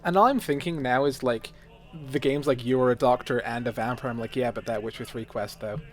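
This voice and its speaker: A man's voice: mid-range voice, a little fast. Dry, sardonic man in casual chat. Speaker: panelist in a Q&A discussion. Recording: music playing behind the voice.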